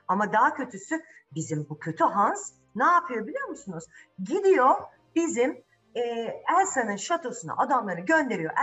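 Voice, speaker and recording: Woman, children's storyteller, very expressive intonation, dramatic, conversational, repeats for suspense, soft music behind. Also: medium pitch. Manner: dramatic, rhetorical questions, repeats phrases for suspense. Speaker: children's storyteller, channel host. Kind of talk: improvised fairy-tale retelling for children.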